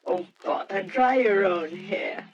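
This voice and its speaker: A woman's voice: medium pitch, takes it slowly, dull audio, background noise, natural voice. A woman playing a teasing, inquisitive newlywed wife in an old-time radio drama. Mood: puzzled, suspicious.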